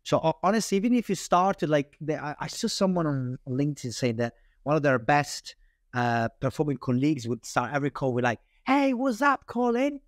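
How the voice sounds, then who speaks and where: A man with an Italian accent, a sales trainer talking casually as a podcast guest, animated and acting out the lines he uses on calls. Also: a little high, normal speed, no echo, clean studio sound. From Italy. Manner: animated, many restarts, acts out call lines.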